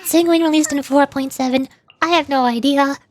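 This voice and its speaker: High-voiced woman streamer with music behind. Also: steady tone, normal speed, darkish tone. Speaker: VTuber livestream host. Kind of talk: casual gaming livestream chat.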